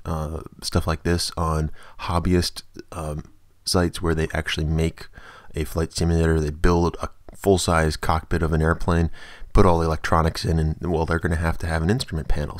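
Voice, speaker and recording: A low-pitched man hosts an informal software tutorial. He explains each step in a casual, conversational manner. The voice-over is dry and close to the mic, with very little room sound. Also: completely flat delivery, brisk, no echo. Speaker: tutorial host.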